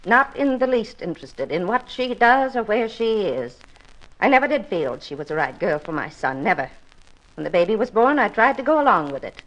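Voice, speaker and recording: An actress playing a bitter, controlling older widow in a 1950s radio drama, haughty and blunt. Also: female voice, medium pitch, very animated, normal speed, fairly bright tone, dull audio. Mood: bitter, demanding. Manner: haughty, blunt, self-pitying.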